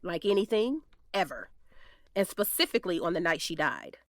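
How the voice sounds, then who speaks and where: A woman playing a sharp, protective, sassy defense lawyer who questions frankly and colloquially in an audio drama. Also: fairly low pitch, sing-song, moderate pace, dry recording, clean studio sound. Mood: probing, serious.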